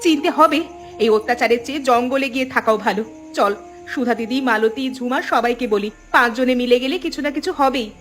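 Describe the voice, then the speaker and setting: A woman's voice: a little high, fairly quick, soft background music, close to an everyday voice. A woman voicing a determined, fed-up village daughter-in-law in a cartoon, speaking in an earnest, resolute way. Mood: weary resolve. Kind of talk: cartoon voice acting.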